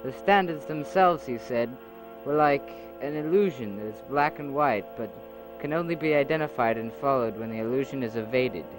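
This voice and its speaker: A man doing an earnest young male leader, middle pitch, music under him. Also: natural voice, rough recording. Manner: earnest speech with uhs, measured. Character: the journeyman, young male leader back from a journey. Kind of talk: amateur audio drama.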